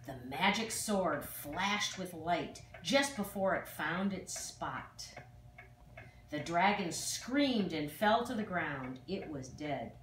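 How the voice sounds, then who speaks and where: An older woman reads a children's fairy tale aloud, with expressive, dramatic emphasis on exclamations. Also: fairly low pitch, takes it slowly. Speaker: storyteller. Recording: distant, echoey room with faint noise.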